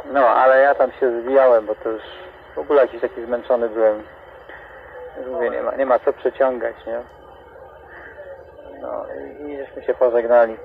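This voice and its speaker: Gravelly man over tinny CB radio. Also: mid-range voice, steady tone, normal speed, pauses often. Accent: Polish, Greater Poland. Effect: CB radio transmission. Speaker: amateur CB radio operator. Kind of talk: casual radio chat. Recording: tinny, noisy CB radio reception. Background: radio static.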